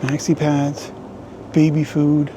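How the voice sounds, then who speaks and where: Joking guy vlogging around town, voice a bit high, loud street noise behind. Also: monotone, takes it slowly. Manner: jokey, playful, chatty. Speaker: travel vlogger. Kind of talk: casual vlog narration. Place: outdoors in a town and in shops.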